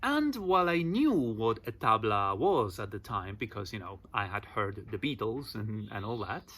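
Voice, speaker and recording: A man in his forties from Argentina, with an Argentine accent and Spanish as his first language, telling his own story in a relaxed, chatty vlog. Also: fairly low pitch, normal speed. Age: 40s. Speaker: vocal percussion teacher.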